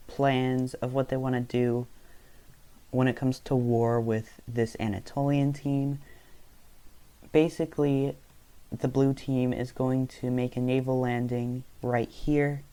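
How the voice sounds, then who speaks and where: Man, young adult, non-native english, casual map narration, self-correcting, mellow dark tone. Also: mid-range voice, clean tone. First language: not English. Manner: rambling, self-correcting, loose storytelling.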